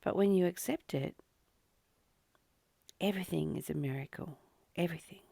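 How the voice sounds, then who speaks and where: A woman hosting a spiritual study, reading a text aloud and commenting on it in deliberate, measured phrases. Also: fairly low pitch, creaky, mellow, slightly rough, no echo. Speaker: host of daily spiritual readings.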